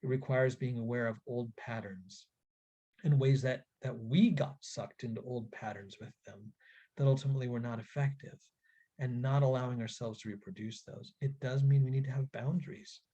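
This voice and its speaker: Male webinar presenter, husky and mellow, earnest and deliberate, instructing parents. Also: medium pitch, fairly even intonation. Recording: dull, lo-fi webinar audio.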